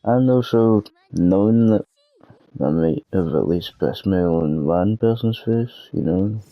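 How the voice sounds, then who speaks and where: A Scottish man with a cold and a hoarse throat, rambling and swearing in a self-deprecating way through a casual gaming vlog. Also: sits low, expressive, measured pace, no echo, lo-fi sound. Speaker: gaming channel host.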